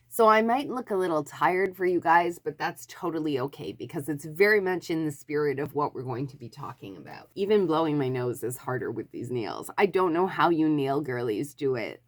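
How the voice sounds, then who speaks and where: A woman with a head cold chats to her camera about books in a low-set voice that sounds a little stuffy. She wanders through her points with plenty of ums and self-corrections. The tone is informal and conversational. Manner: rambling, self-correcting, many fillers. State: has a cold, slightly nasal. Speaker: book YouTuber. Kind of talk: casual chat to camera.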